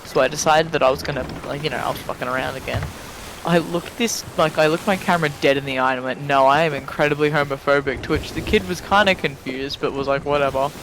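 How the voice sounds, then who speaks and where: Male streamer, fairly high-pitched, casual animated storyteller, noticeable noise on voice chat. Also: fast. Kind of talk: casual banter during a game stream. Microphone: online voice chat.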